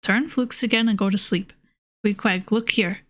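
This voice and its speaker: A woman's voice: medium pitch, normal speed, fairly bright tone, lightly acted. An easygoing male innkeeper from a seafaring novel, read by a woman. He talks in a folksy, teasing way and stays unbothered. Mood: amused, reassuring.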